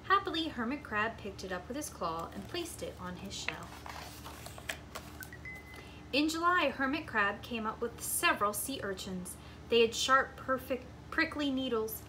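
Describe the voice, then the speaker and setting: A woman reading a children's story aloud like a preschool teacher, with expressive, deliberate phrasing. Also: on the high side, noisy background.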